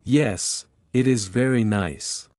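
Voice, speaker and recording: A man reading one side of a scripted English lesson dialogue, speaking in simple sentences with deliberate, even spacing. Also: mid-range voice, dry recording, studio quality. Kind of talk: slow scripted practice dialogue.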